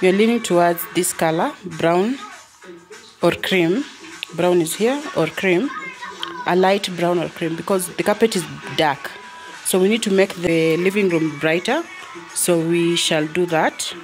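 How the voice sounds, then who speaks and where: Slow-talking Kenyan woman vlogger. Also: on the low side, soft background music. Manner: unhurried, repeats phrases while pointing things out. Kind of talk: casual house tour narration. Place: indoors, living room of a family home. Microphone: handheld phone or camera held by the speaker.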